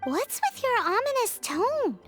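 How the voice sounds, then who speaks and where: A woman voicing a tiny, cheeky, excitable fairy companion with a childlike squeaky voice in a video game. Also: measured pace, exaggerated voice. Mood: curious, cheeky. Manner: speaks of herself in the third person, bubbly. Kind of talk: anime-style video game voice acting. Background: music.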